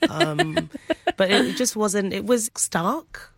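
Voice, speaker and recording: A woman's voice: fairly high pitch, lively intonation, slow, vocal fry, no room sound, clean studio sound. A young adult woman comedian chatting in an interview, rambling with many restarts and fillers. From England and Nigeria. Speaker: comedian guest. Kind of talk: casual interview chat.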